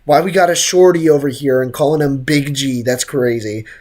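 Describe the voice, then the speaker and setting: A woman's voice: extremely low pitch, normal speed, warm tone. A woman doing casual, slangy live gameplay commentary, teasing and reactive, with a slightly sick throat. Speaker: game streamer.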